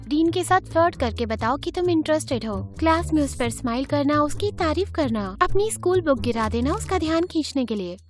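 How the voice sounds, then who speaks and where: A woman narrating an animated story in first person in an expressive, chatty way. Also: sits high.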